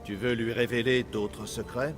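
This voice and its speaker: A man acting a guarded, weary older Persian father and resistance fighter in a French-dubbed video game, speaking in a grave, measured way. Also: medium pitch, fairly even intonation, lightly acted. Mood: suspicious. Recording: game music behind.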